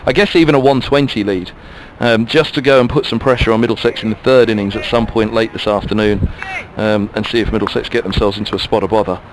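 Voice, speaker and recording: A man's voice: lowish voice, steady tone, fast talker, hardly any pauses, a little hiss or hum, poor audio. A man giving ball-by-ball radio cricket commentary, musing chattily between deliveries.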